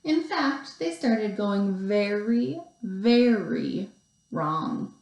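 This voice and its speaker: A woman teacher reading a picture book aloud to young children, expressively, with deliberate pauses and stretched emphasis. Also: mid-range voice, animated, creaky, darkish tone, muffled recording. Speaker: second grade teacher. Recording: roomy, echoey sound.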